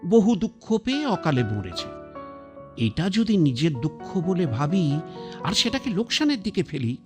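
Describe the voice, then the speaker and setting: A stubborn, ailing grandfather past eighty, slow and gruff, his pitch rising and falling widely as he muses. It is a broadcast radio play with faint music under the voice. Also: very animated. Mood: brooding. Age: older. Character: ailing man over eighty, proud and stubborn.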